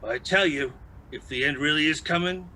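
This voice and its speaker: A man's voice: fairly high pitch, moderate pace, bright, forceful tone, a little hiss or hum, rough recording. A man playing an opinionated, indignant ordinary guy in a comic drama, ranting with exasperated emphasis. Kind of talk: dramatized comic adaptation acting.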